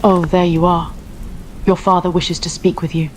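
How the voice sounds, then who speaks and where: Woman playing a low-voiced, worried queen mother. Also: flat delivery, normal speed, a little hiss or hum, natural voice, poor audio. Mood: anxious, reproving. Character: stern, worried queen mother admonishing her grown son. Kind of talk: dramatic scripted audio acting.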